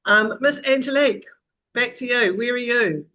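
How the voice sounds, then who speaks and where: A woman's voice: fairly high pitch, normal speed, bright, forceful tone, a little hiss or hum. A woman hosting a casual group video call in an informal, teasing way. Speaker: host.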